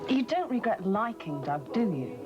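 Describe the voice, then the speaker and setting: An earnest, idealistic British reporter, played by a woman in an old TV drama, her voice rising and falling a lot as she questions. The audio is muffled and sits under loud music. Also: mid-range voice, sing-song, normal speed, close to an everyday voice. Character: earnest young female reporter, idealistic and probing.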